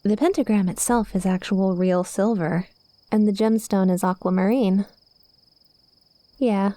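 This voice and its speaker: A woman's voice: mid-range voice, steady tone, measured pace, warm tone, no echo, lightly acted. A woman playing a cute, playful witch in an ASMR roleplay, speaking confidently and coaxingly straight to the listener. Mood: gentle, instructing. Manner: conversational, teasing, coaxing.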